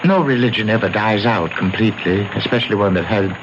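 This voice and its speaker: An actor playing a rational, skeptical scholar in a 1970s radio drama, questioning and weighing each answer. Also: male voice, on the low side, fast talker, natural voice. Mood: measured, skeptical. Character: rational, probing male scholar advising troubled visitor. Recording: muffled, noisy 1970s radio broadcast.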